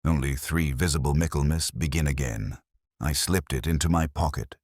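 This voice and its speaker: A man playing a brooding, world-weary, literary police detective, narrating his story in a measured, solemn, wry manner. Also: a bass voice, a little mellow, no room sound, clean studio sound. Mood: brooding, reflective. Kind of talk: scripted first-person detective story narration.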